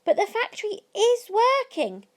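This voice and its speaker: Woman as high, astonished gossiping townsfolk. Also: unhurried, full character voice. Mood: amazed, excited. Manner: excited exclaiming.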